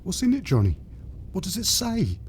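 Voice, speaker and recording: A man's voice: sits high, huge pitch swings, moderate pace, a little mellow, a little hiss or hum, voice pushed up. A man playing an anxious, reluctant young grave-digger, timid and pleading in an audio horror story. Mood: frightened.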